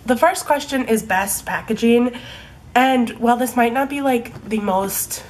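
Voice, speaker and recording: A woman's voice: fairly high pitch, measured pace, low-quality recording. Stuffy young woman vlogging at home, chatty, lots of likes and ums, a bit of hiss behind. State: congested from a cold. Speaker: beauty vlogger. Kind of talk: casual product review. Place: home room in fading daylight.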